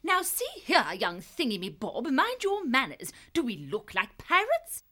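A woman's voice: high voice, wildly swooping pitch, sharp tone, full character voice. A woman playing a bossy, good-hearted animal voyager with old-fashioned phrasing in a fantasy audiobook. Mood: scolding. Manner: brisk scolding, jolly old-fashioned phrasing.

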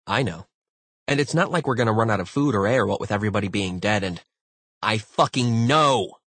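A man's voice: fairly low pitch, fast, a bit bright, breathy edge, dry recording. A man voicing a weary, irritable male spaceship crew member in an audiobook, exasperated and tense.

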